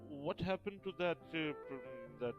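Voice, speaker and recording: A man's voice: a little high, lively intonation, measured pace. A man streaming a video game, reacting casually in short, informal remarks. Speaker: gaming streamer. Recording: muffled lo-fi mic over game audio. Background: game music.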